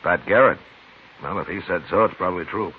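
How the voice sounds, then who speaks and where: A man playing a world-weary American lawman from a radio western, very deep and gruff. His delivery is laconic and dry, heard through a muffled, faintly hissy old broadcast. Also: fairly quick, close to an everyday voice. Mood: dry, skeptical. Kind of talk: 1950s radio western acting and narration.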